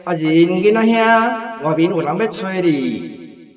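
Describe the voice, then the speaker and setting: A man's voice: high voice, expressive, slow, recorded from a distance. A man playing an older cinema narrator in a radio drama. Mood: drawn-out, declaiming.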